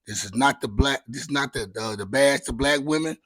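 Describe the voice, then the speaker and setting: Raspy dude hosting his own chit chat show, loose and cussing, dry close mic. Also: medium pitch, brisk, fairly bright tone, no echo. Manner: loose, candid, slangy and profane. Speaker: podcast host. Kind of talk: casual solo chat.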